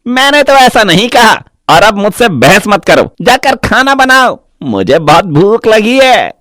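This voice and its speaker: High-pitched grumpy village husband, acted by a man. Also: very animated, unhurried, a bit bright, no room sound, clean studio sound, full character voice. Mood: bossy. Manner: sarcastic, scolding. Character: grumpy, demanding village husband, sarcastic and bossy. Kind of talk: Hindi cartoon story acting.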